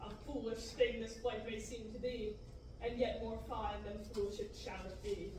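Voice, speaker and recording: Determined little droid voiced by a young woman at a table read, high, recorded far off in a noisy hall-like room. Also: steady tone, moderate pace, natural voice. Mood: resolute. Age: young adult. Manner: recites rhyming verse, loud and amused. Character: small, determined, loyal astromech droid. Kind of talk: amateur Shakespearean-verse table reading. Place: large classroom indoors. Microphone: far camera. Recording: very echoey room, far-off mic, background noise.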